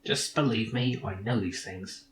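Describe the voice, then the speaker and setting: A man's voice: on the low side, measured pace, fairly bright tone, echoey room, a little hiss or hum. A man voicing a cocky little robot sidekick from an adventure game, sarcastic, pedantic and dismissive. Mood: knowing, smug.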